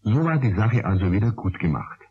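A man narrating a children's radio story in a calm, measured way. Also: fairly low pitch, husky. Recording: dull old radio recording.

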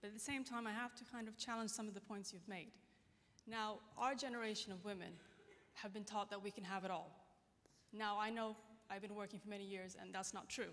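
A woman's voice: mid-range voice, steady tone, fairly quick, fairly bright tone. A woman from Britain speaks up from the audience at a conference, challenging the speaker earnestly and fluently into a handheld microphone. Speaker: audience member asking a question. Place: large conference hall, seated in audience. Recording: long hall reverb.

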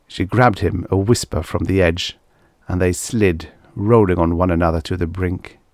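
A man reading literary science fiction as an audiobook narrator, with measured, expressive delivery and deliberate phrasing. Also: sits low, no room sound, clean studio sound.

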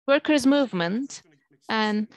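A woman's voice: a little high, lively intonation, takes it slowly, dry recording. A woman interpreting a speech over a video call, hesitant with frequent fillers.

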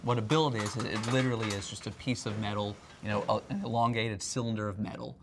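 A man's voice: lowish voice, fairly even intonation, fast, noisy background. A man in his thirties giving a conversational, explanatory promotional talk as a company presenter. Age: 30s. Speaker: company R&D representative, factory tour presenter. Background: background music.

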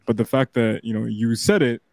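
A man from the Toronto area hosting a podcast over a video call, speaking calmly and supportively. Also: medium pitch, a little fast, gravelly, no echo, clean studio sound. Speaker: podcast host. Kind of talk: casual podcast chat.

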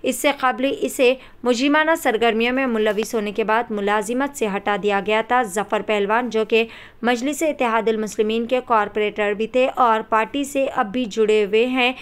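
A woman's voice: on the high side, fairly even intonation, normal speed, clear, resonant tone. A woman anchor reading a news report in a formal, scripted style. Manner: formal Urdu-laden newsreading.

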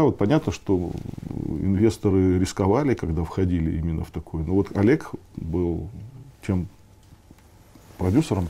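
Older man, low pitch, soft mellow tone, halting explanatory interview talk. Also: gravelly, breathy edge. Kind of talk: conversational interview answers. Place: meeting room, indoors.

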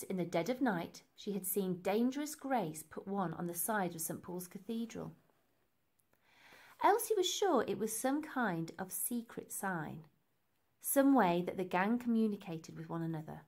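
A woman reading a children's story aloud in an expressive, deliberate and emphatic way. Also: mid-range voice. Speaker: storybook reader.

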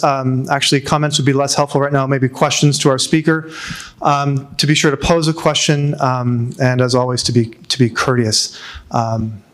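A man's voice: fairly high pitch, a bit bright. A middle-aged man moderating a university lecture, polite and hesitant with many ums, on a handheld mic. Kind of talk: event moderation.

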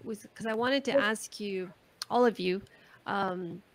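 A woman's voice: medium pitch, a little slow. A woman moderating a video call panel, casual and restarting her sentences as she puts a question.

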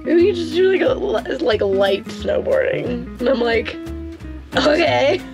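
A young woman chatting casually to a handheld camera inside a small camper van, telling the story in an animated way, with music underneath. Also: high-pitched, a little slow, gravelly. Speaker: van life vlogger. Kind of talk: casual vlog chat. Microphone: handheld action camera at arm's length.

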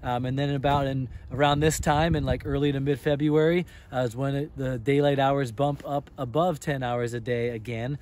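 A man's voice: mid-range voice, fairly even intonation, normal speed, hardly any pauses, a little mellow, slight background noise. A young man, a farmer, giving an earnest on-site tour outdoors on a snowy field, explaining with frequent fillers. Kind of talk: on-site farm tour interview.

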